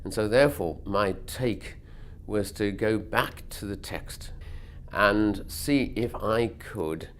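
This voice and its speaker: A man's voice: lowish voice, slow. A man giving a considered explanation of his work in an interview. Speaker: artist. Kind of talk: interview talk.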